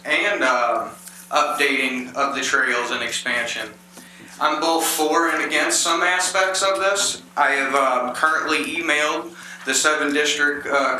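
30s guy nervously talking to council, lots of ums, super bright tone, echoey room with faint hiss. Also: fairly high pitch. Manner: hesitant, many um fillers and restarts. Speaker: local resident speaking at public comment. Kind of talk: public comment at a council meeting. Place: large council chamber. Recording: very echoey chamber, faint noise.

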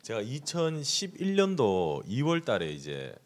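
Pastor, Mann, mittleren Alters, spricht Koreanisch, sehr schnell, lebhaft erzählend, Handmikrofon. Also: male voice, medium pitch, very fast. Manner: lively storytelling, gesturing with his free hand. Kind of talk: sermon with live interpretation. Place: church hall, indoors. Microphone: handheld microphone.

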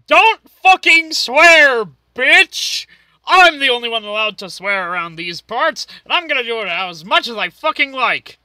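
Performer doing a manic cartoon ringmaster, very sharp bright voice, swooping pitch, bossy, foul-mouthed showman. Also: expressive, unhurried, dry recording. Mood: agitated, brash. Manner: swearing, theatrical, hesitant asides. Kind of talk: amateur cartoon fan dub.

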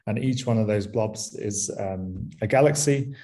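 Mancunian guy lecturing on a video call, lowish mellow voice, lots of ums. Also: a little slow. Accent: Mancunian English. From England, near Manchester. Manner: relaxed, with frequent ums and restarts. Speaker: university lecturer. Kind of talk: conference talk.

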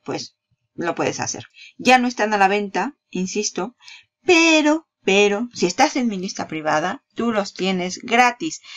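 A woman's voice: medium pitch, highs cut off. A woman giving a casual, chatty walkthrough of a product page, talking straight to the viewer and laughing at herself. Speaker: marketing YouTuber.

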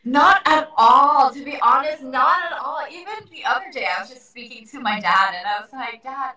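A bright, earnest young woman from Thailand answers interview questions on a video call. Her voice sits in the middle range and carries clearly. The room around her is very echoey, and she is a little distant from the microphone. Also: high-pitched, animated, moderate pace. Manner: earnest, upbeat, laughs often. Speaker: guest. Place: indoor room by a window. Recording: echoey room, far from the mic.

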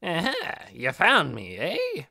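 A man's voice: high-pitched, huge pitch swings, slow pace, bright, forceful tone, voice pushed up, exaggerated voice. A man voicing a brash, wary goblin warrior in an audiobook, with a hard voice and slangy, taunting talk. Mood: gleeful taunting. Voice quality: hard, creaky.

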